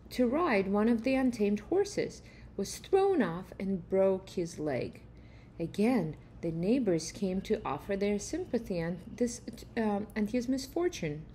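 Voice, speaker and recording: A middle-aged woman reading a children's story aloud in an expressive storytelling style, with deliberate phrasing. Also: mid-range voice, a little hiss or hum. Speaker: reader of a children's picture book. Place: room at home, indoors.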